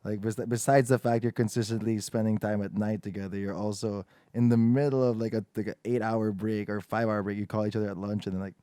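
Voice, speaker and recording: Low, dark-voiced young man chatting loosely. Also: fairly even intonation, fast, few pauses, smooth, no echo. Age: 20s. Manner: loose, rambling talk with frequent swearing. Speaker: podcast host. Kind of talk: casual podcast chat.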